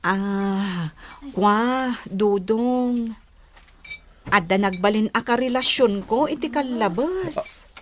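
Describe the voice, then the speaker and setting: A woman's voice: mid-range voice, slow, slight background noise, close to an everyday voice. A woman playing an earnest young girlfriend in a radio drama, with an Ilocano accent. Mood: hesitant confessing. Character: earnest young woman confessing her past to boyfriend.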